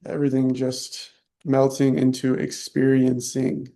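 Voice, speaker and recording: A man leading a guided meditation, soft-spoken and unhurried, leaving long pauses between short phrases. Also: mid-range voice, monotone. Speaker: meditation teacher.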